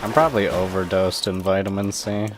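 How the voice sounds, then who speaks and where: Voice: deep voice, fairly even intonation, brisk. A game streamer chatting casually in a playful, rambling way. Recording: game music and dialogue behind.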